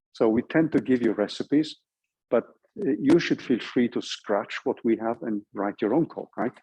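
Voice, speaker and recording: A male university lecturer with a Norwegian accent talks in an informal, explanatory way. His voice is mellow and rather husky, at a middling pitch. He walks through the material step by step, checking in as he goes. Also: poor audio. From Norway.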